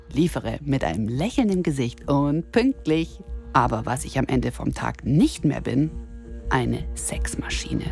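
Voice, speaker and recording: A woman's voice: rumbling low, creaky. A woman of thirty-nine delivering an ironic, self-mocking scripted radio monologue in the first person. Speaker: radio columnist and presenter.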